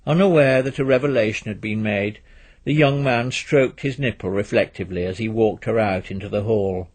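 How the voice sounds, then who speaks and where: A man's voice: medium pitch, husky. A man reading a literary story aloud as scripted narration.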